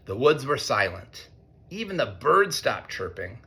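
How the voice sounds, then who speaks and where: Expressive, slow-paced male storybook reader. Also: medium pitch, gravelly. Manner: expressive, playful, pausing to ask listeners. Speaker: read-aloud storyteller, teacher. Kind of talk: interactive storybook narration for children.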